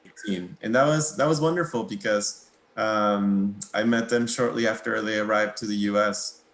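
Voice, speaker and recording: A young man from Mexico whose first language is Spanish, answering interview questions in a relaxed, hesitant way with many pauses and small laughs. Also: lowish voice, roomy sound, low-quality recording. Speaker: guitarist, interview guest.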